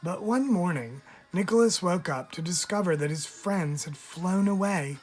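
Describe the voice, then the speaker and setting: A man, a teacher, reading a picture book aloud in an expressive storytelling style, stressing key words. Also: sits high, moderate pace. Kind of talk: expressive read-aloud narration for children. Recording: music under the voice. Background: music.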